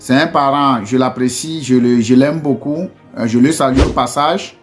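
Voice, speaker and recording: A man's voice: medium pitch, normal speed, soft background music. A man from Togo with a Togolese French accent, giving a casual motivational talk to his community, hesitant with many fillers and restarts, then pressing with earnest rhetorical questions. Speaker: entrepreneur addressing his community.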